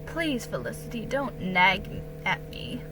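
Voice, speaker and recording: A woman voicing a patient but weary colonial mother, gently scolding in measured tones, in a dramatic storybook reading. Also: medium pitch, sing-song, music in the background, poor audio. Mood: tired, pleading.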